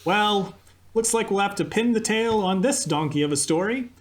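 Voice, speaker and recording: A man's voice: high-pitched, expressive, normal speed. An actor playing an eager male radio co-host who tells his story in puns. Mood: cheerful. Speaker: co-host of a radio comedy sketch. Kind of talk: live radio comedy acting.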